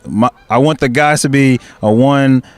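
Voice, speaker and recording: A male actor answering interview questions in a hesitant way, pausing with fillers. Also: mid-range voice, no echo.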